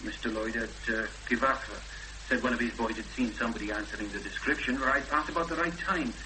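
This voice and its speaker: An actor playing a cautious, courteous rural policeman with a Welsh accent and a halting official delivery in a 1970s radio drama. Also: medium pitch, close to an everyday voice. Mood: halting, sombre. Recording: old radio recording, loud hum and noise.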